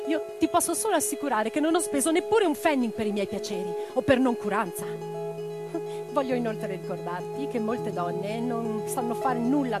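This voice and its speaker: A woman's voice: a little high, natural voice. An actress playing an impassioned revolutionary woman in a radio play, declaiming with theatrical intensity. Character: impassioned revolutionary woman orator and letter-writer. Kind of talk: theatrical dramatic monologue. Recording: guitar music behind the voice. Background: plucked guitar music.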